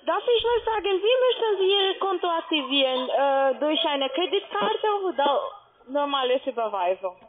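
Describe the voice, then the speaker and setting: A woman's voice: high-pitched, big pitch swings, moderate pace. A woman, working as a call centre agent on a polite, formal sales call. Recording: tinny phone line.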